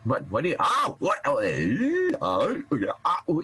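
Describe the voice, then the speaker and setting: An actor playing a wounded, grudging schemer with a husky, halting voice in a radio comedy. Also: male voice, medium pitch, huge pitch swings, a bit bright, full character voice. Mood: weak, plotting. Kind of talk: improvised radio comedy acting.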